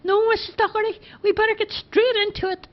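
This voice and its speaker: A man voicing an excitable, impatient young man with a squeaky, hurried voice. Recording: dull lo-fi recording.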